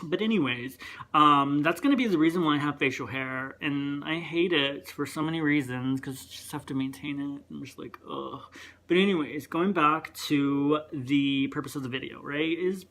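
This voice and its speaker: A young man talks in a casual vlog rant. His voice sits a little high, and strangers sometimes take it for a woman's. He rambles, breaks off mid-thought and circles back. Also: moderate pace, fairly bright tone. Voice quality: higher voice, sometimes heard as female. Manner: rambling, chatty, self-interrupting. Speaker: vlogger.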